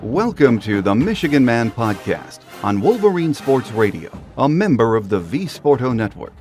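A man's voice: mid-range voice, big pitch swings, moderate pace, over music. A man hosting a sports podcast, delivering scripted commentary and announcements.

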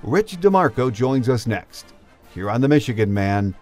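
A man's voice: medium pitch, very animated, normal speed, darkish tone. An older man with an American accent hosts a sports podcast. His voice sits in a middle register, with music faintly audible in the recording. He speaks as a presenter addressing fans. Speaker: podcast host. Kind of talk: sports podcast monologue and interview.

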